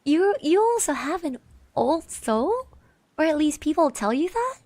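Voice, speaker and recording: A woman plays a kind, bashful girl of eighteen in an ASMR classmate roleplay. Her voice is soft and fairly high, and she speaks very slowly with hesitant, reassuring stammers. The sound is close and dry. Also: very animated, dry recording. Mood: bashful, pleased. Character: shy, kind eighteen-year-old girl classmate giving encouragement. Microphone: close microphone.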